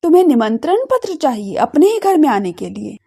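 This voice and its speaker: A woman's voice: high voice, very animated, a little fast, warm tone. A woman voicing an affectionate, playfully scolding middle-aged aunt in an audio drama.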